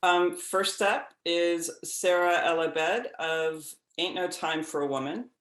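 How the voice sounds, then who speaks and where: A moderator welcoming panelists and asking questions with enthusiasm, rambling a little with many fillers. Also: male voice, fairly high pitch, slow, a bit bright, roomy sound. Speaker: moderator, TV critic.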